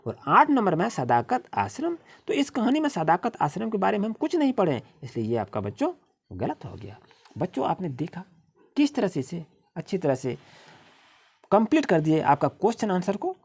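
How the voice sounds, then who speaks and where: A woman with an Indian accent teaches a primary class in a slightly low, husky voice. Her pitch swings up and down dramatically as she instructs the children through textbook exercises. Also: wildly swooping pitch, a little mellow. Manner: instructive, reads English slowly word by word, often addresses children.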